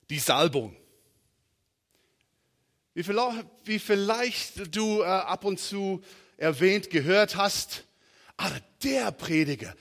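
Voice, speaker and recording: A middle-aged man from the United States, a native English speaker with an American accent, preaching a sermon informally and haltingly, searching for words. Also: fairly high pitch, fairly bright tone. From southern and western United States. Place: large reverberant room, preaching to a congregation. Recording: reverberant hall.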